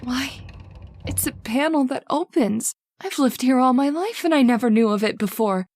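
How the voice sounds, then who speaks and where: A woman's voice: sits high, animated, normal speed, darkish tone. A woman playing an anxious, frightened daughter in an old-time radio horror drama, with dramatic, earnest delivery. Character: anxious, frightened daughter recounting her father's haunting.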